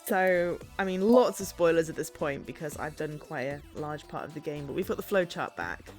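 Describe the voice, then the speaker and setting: A woman chatting as she streams a game, a bit hoarse from a sore throat, bubbly and quick to laugh. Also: sits low, lively intonation, a little mellow, faint music behind. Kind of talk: casual game-stream chat.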